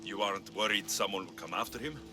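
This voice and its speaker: An actor playing a cocky, flirtatious Greek mercenary hero in video game cutscenes. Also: male voice, fairly low pitch, quick pace, natural voice. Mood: assured, sympathetic. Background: music.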